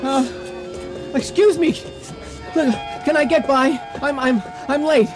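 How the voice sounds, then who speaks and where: An actor in a 1980 radio drama playing a flustered, apologetic man who pleads and stammers as he rushes for a flight. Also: extremely high pitch, over music. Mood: anxious, pleading. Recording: vintage radio drama recording.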